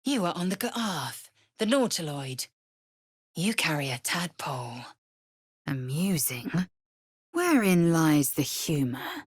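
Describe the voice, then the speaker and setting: An actress voicing a fierce, haughty alien warrior woman in a video game, with a husky voice and an imperious, clipped, disdainful manner. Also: low voice, very animated, slow, long pauses, dry recording, clean studio sound, exaggerated voice. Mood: scornful. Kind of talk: video game character voice lines.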